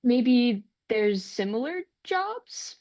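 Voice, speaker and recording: A woman's voice: fairly high pitch, big pitch swings, drawn-out words, a little mellow, no echo, exaggerated voice. An actress playing a kind, upbeat young woman in a naturalistic script read, cheerful and earnest with some hesitancy. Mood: awkward, encouraging.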